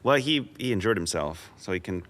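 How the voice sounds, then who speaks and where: A man with a low voice that swings widely in pitch chats on an esports panel. He jokes and teases freely. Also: a little fast. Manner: animated, joking, laughs easily. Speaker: pro player. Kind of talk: casual esports roundtable chat.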